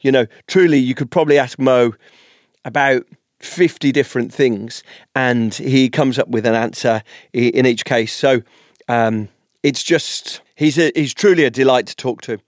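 A man's voice: medium pitch, no echo, studio quality. A man hosting a podcast in a conversational interview style, speaking in an enthusiastic, effusive way.

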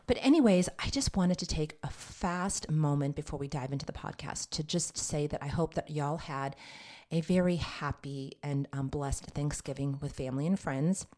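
A woman's voice: low-pitched, normal speed, dark tone. A woman hosting a health podcast as a nurse practitioner, sounding nasal and congested, chatting straight to her listeners. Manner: chatty, addresses listeners directly as ladies. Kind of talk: casual health podcast talk.

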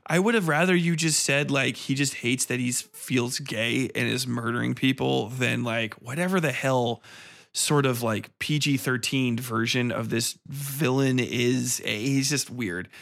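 A man's voice: medium pitch, measured pace, creaky, fairly bright tone. A man hosting a podcast, giving a casual, opinionated film review in a profane, incredulous manner.

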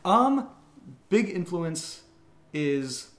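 A man's voice: high voice, sing-song, drawn-out words, fairly bright tone. A man co-hosting a casual Q&A, reading out listener questions and answering in short remarks. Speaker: audio drama writer, co-host reading listener questions. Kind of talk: casual Q&A chat.

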